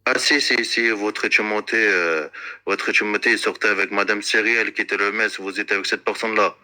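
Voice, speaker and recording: A man making an unscripted, accusatory complaint in an insistent, repetitive and halting way, searching for words. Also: on the low side, fairly even intonation, sharp tone.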